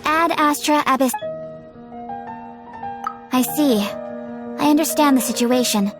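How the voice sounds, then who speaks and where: A woman playing a composed, formal guild receptionist, courteous and measured, in a video game. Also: high-pitched. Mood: composed, helpful. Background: music.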